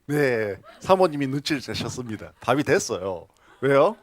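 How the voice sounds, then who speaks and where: A man's voice: mid-range voice, big pitch swings, normal speed. A man pastor preaching a sermon, telling stories and asking the congregation questions with laughing asides. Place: church sanctuary.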